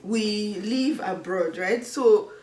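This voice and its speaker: A woman's voice: mid-range voice, slow pace, darkish tone, reverberant, soft background music, poor audio. A young woman with a Nigerian accent hosting her own channel, chatting frankly and with animation. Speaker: vlogger host. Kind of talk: casual chat.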